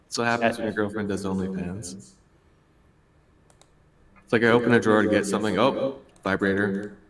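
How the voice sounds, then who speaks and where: A man's voice: on the low side, fairly even intonation, moderate pace, reverberant, recorded from a distance. A man in casual gaming voice chat, blunt and crude in his banter. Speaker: raid member on voice chat.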